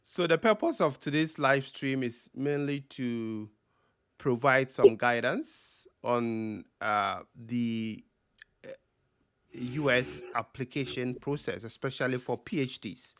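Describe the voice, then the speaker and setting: A man with a Ghanaian accent hosting a live stream Q&A over a video call, talking slowly with many ums and uhs. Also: medium pitch, no echo. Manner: slow, relaxed with frequent ums and uhs. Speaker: host.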